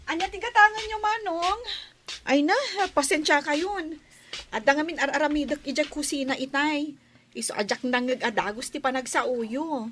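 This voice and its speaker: Woman playing polite young storekeeper, high-pitched, bright, respectful, audio drama, slightly noisy recording. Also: normal speed. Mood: polite, curious. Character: polite young unmarried city woman tending aunt's store. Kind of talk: Philippine radio-style audio drama acting.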